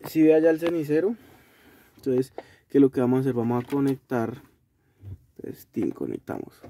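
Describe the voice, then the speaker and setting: Mellow young Colombian man, casual vlogger. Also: medium pitch, normal speed. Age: young adult. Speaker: video host reviewing a gadget. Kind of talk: casual vlog review. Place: inside a small car. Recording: noisy car-cabin recording with clicks.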